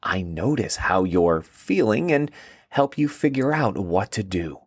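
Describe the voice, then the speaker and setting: A man voicing a shy female emotion creature, gentle and reassuring, for a children's story. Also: fairly low pitch, sing-song, unhurried, no room sound.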